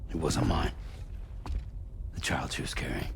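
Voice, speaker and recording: Gravelly, very deep, guarded porter. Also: male voice, lively intonation, a little slow, a little hiss or hum, full character voice. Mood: hurt, defensive. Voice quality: gravelly, low mumble. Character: weary, guarded, gruff middle-aged male porter. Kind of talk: video game cutscene acting. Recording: music behind.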